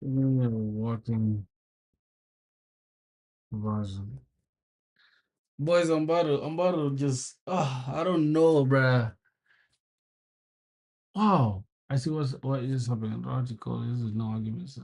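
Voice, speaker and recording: A young man from South Sudan streams himself coding, talking loosely to his viewers. He swears freely and vents when things break, then turns friendly and calls people bro. His pitch sits in an ordinary middle range for a man. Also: slow pace, pauses often, darkish tone. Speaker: programming livestreamer. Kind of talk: casual live coding stream.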